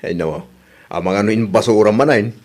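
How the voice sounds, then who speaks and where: Guy playing a lowish voiced ilocano village husband, plain spoken, loves food, radio comedy. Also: a little fast, darkish tone, close to an everyday voice. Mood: questioning.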